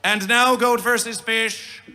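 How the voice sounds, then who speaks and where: A man emceeing a live festival stage, theatrical, with mangled grammar and chanted, shouted callouts. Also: very high voice, fairly even intonation, slow pace. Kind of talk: live stage hype announcing.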